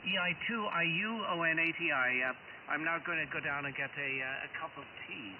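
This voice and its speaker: Man, fairly high voice, narrowband distant radio, clear hiss, polite halting casual chat. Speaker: amateur radio operator. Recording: thin narrowband shortwave radio with loud hiss.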